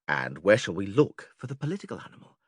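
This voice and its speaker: A patient, didactic philosopher leads a formal dialogue step by step. His pitch swings very widely as he questions and instructs. The voice is noticeably husky and recorded close and dry. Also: sing-song, fast, a bit bright, no echo, exaggerated voice. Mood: patient, questioning. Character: male philosopher stranger, patient, methodical, leading an argument. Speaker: audiobook actor reading philosophical dialogue. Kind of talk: dramatised classical dialogue reading.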